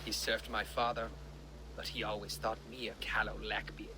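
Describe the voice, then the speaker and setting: An actor playing a troubled young Viking lord in a video game, solemn and measured. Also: male voice, fairly low pitch, flat delivery, background noise, close to an everyday voice. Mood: grave, troubled. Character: grave, troubled young Danish warrior lord. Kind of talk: dramatic video game acting.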